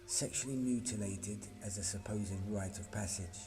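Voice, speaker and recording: Male reader, fairly deep, ceremonial and deliberate delivery of a spiritual decree, drenched in long echo. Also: dark tone. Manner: measured, declarative, ceremonial. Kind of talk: solemn scripted spiritual invocation reading. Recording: long reverb, clearly noisy ambient background.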